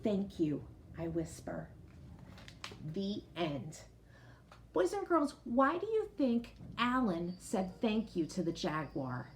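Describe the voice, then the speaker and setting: A woman reading a picture book aloud to children like a teacher, expressive, pausing to explain and put questions directly to young listeners. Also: mid-range voice, slight background noise. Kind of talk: storybook read-aloud with explanatory asides.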